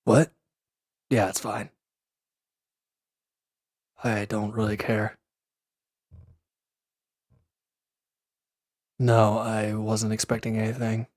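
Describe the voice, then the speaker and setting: A man playing a wounded, proud villain in an intimate audio drama, sullen and guarded, curt and halting in a breathy murmur. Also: on the low side, completely flat delivery, darkish tone, no room sound, studio quality. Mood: indifferent, reserved.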